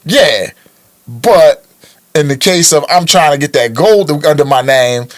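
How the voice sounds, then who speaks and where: A man's voice: a little high, expressive, unhurried. An American man co-hosting a podcast, chatting about sports news in an informal, slangy way. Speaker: podcast co-host. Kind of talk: casual sports news chat. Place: podcast studio.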